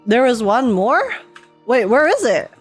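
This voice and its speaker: A young woman giving casual, slangy and expressive game reaction commentary as a streamer. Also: fairly high pitch, measured pace, breathy edge, soft background music.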